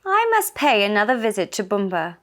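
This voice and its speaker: A woman's voice: medium pitch, sing-song, fairly quick, a bit bright. A woman voicing a trusting, poor man in a children's story, speaking in an earnest, plaintive manner. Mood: mild, thoughtful. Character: Akumba, a trusting poor man, complaining but polite.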